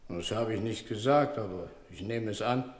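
A man plays a grumbling bank worker in a radio play. His rather low voice rings with heavy echo and long reverb. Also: normal speed, lightly acted. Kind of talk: German radio drama acting. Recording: echoey hall with long reverb.

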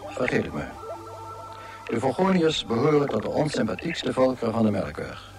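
An actor playing the dry narrator of a galactic guidebook, delivering scripted comic radio narration with measured, deadpan irony. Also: male voice, mid-range voice, music in the background, lightly acted.

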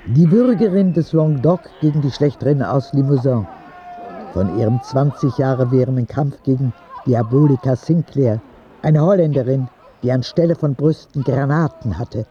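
A man's voice: mid-range voice, normal speed, highs cut off, natural voice. A man playing an earnest, candid ten-year-old sick boy who narrates his letters in a radio drama. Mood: confiding, admiring.

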